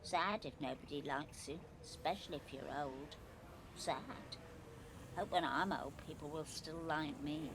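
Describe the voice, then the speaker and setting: A woman's voice: low-pitched, unhurried, noisy background. An actress playing a pushy, teasing young girlfriend in an audio play, blunt and demanding. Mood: wistful.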